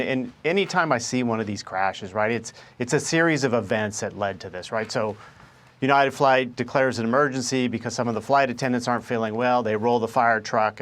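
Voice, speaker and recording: Man, expert guest, mid-range voice, unscripted interview commentary, repeated words at starts. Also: medium pitch. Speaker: aviation expert, former government spokesman.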